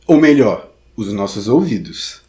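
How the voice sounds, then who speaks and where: A man's voice: fairly low pitch, sing-song, measured pace, warm tone. A Brazilian man giving an informal tutorial, talking straight to the viewer in a theatrical, conversational way. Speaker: theatre teacher and podcast host.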